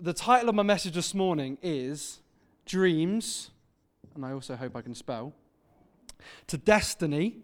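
A man's voice: a little high, lively intonation, unhurried. A man in his thirties from Devon preaching a sermon in a church, chatty and self-deprecating. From England (Devon). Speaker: visiting preacher. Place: church hall.

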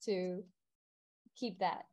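A woman answering questions as an interview guest in a soft, plain way. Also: fairly low pitch, fairly even intonation, drawn-out words. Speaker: guest from a sports federation.

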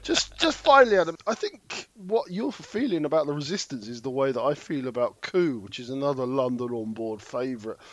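A man's voice: medium pitch, animated, fairly quick, few pauses, a bit bright, no echo. A man co-hosting a podcast, chatting casually and explaining game rules step by step. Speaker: podcast co-host. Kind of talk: casual board game review chat.

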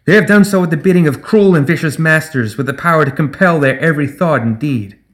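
A calm, proud female resurrectionist from a fantasy audiobook, performed by a man. Her raised voice rings out in measured oratory, with a cold edge beneath it. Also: fairly high pitch, pitched above the performer's own voice, lightly acted. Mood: persuasive, ringing. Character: proud, calm woman resurrectionist pleading for undead.

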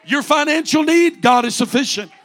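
A man's voice: extremely high pitch, animated, normal speed, fairly bright tone, some chatter behind. A man in his late forties, a pastor preaching a fervent sermon with rising repeated refrains. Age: 40s.

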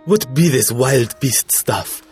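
A man's voice: medium pitch, animated, takes it slowly. An actor playing a spoiled, insolent young boy in an audio drama, haughty, blunt and declamatory. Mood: haughty, scornful. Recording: music behind the voice.

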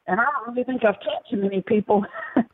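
Gravel-voiced woman hosting over the phone. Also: on the high side, fast, a crowd in the background. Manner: chatty, hesitant with fillers. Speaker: radio show host. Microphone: phone line. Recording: tinny dry phone line.

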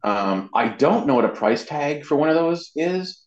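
A man's voice: a little high, sing-song, moderate pace. An American man in his fifties chatting casually as an interview guest, rambling a little with self-deprecating humour. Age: 50s. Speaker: interview guest, web marketing professional. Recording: muffled lo-fi sound in echoey room.

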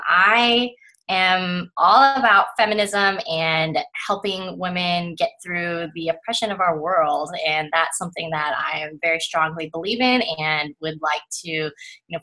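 Bright, hesitant woman guest. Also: deep voice, poor audio. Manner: hesitant, giggly, many fillers. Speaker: podcast guest. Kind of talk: casual podcast chat.

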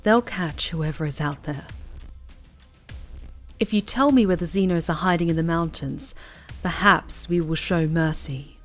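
A woman playing a zealous, bitter loyalist wounded by betrayal in an audio drama, speaking with measured, reproachful menace. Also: low voice. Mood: bitter, coaxing menace. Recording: music under the voice.

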